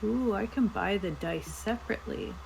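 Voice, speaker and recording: A woman's voice: medium pitch, moderate pace, creaky, darkish tone, a little hiss or hum, poor audio. A woman co-hosting a show in casual chat, with an enthusiastic way of talking. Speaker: show co-host.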